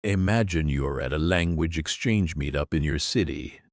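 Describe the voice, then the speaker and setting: A man giving a scripted English lesson, explaining clearly and patiently in an encouraging way. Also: a bass voice, normal speed, no echo, clean studio sound. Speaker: English teacher. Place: in Europe.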